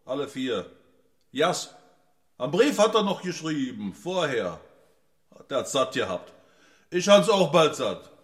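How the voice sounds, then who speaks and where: A man's voice: fairly high pitch, sing-song, a little slow, long pauses. An actor playing a blunt, worn-out man who talks in terse, clipped fragments in a radio drama. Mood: bleak, fed up. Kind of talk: German radio drama acting.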